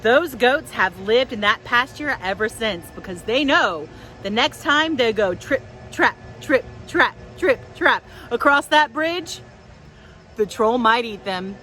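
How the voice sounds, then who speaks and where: A woman telling stories to young children outdoors in a park, with expressive, simple phrasing. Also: on the high side, slow pace, soft background music. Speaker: children's storyteller, host. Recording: outdoors with faint wind noise.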